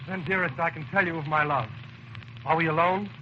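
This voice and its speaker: An actor playing a gushing, nervous secret lover in a 1930s radio comedy, with exaggerated sweet talk. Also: male voice, on the high side, fairly quick, natural voice. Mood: lovesick. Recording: dull, very noisy old radio broadcast.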